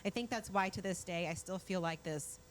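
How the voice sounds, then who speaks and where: A woman's voice: lowish voice, normal speed, a little hiss or hum. A woman from Mexico hosting a morning show, chatting with enthusiasm and explaining things in a bubbly, talkative way. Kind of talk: casual studio chat.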